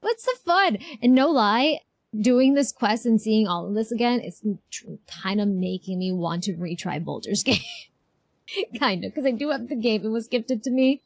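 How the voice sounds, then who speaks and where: A woman's voice: on the high side, lively intonation, normal speed, gravelly, dry recording. A woman streamer giving casual game reaction commentary, chatty and thinking aloud. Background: faint game music.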